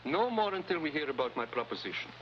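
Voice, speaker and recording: Sly, animated, courtly informant. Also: male voice, fairly high pitch, fast. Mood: oily, genial. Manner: smooth-talking, mock-polite, theatrical. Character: sly, courtly male foreign informant, self-serving schemer. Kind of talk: 1950s TV adventure acting. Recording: muffled old TV soundtrack with noise.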